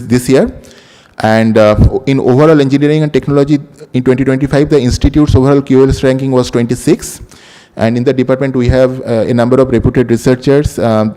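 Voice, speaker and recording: A man giving a formal welcome as workshop host, halting and full of fillers. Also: medium pitch, mellow, clear, resonant tone. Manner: halting, many fillers and restarts. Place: large lecture hall. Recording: reverberant hall sound.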